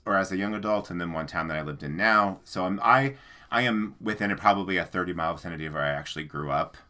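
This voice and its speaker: Casting: man, thirties, low pitch, bright edge, easygoing chatty co-host who rambles. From American Midwest. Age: 30s. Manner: relaxed, rambling with fillers and "like". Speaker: co-host, book channel. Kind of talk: casual chat.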